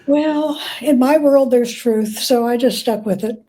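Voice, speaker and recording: A woman speaking as an expert guest in an interview, telling stories in a plain-spoken, thoughtful, measured way. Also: on the high side, mellow, poor audio. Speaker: guest, church historian and biographer. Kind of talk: conversational interview, storytelling.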